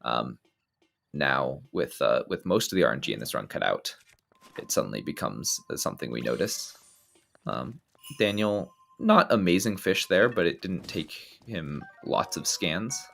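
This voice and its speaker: Very deep gravelly male commentator. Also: normal speed, faint music behind. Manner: relaxed, explanatory, frequent ums and restarts. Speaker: speedrun commentator. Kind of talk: live game commentary.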